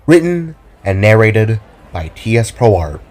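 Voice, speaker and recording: A man's voice: on the low side, very animated, slow, a little mellow. A man narrating his own audio drama in a descriptive storytelling style. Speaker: narrator and writer.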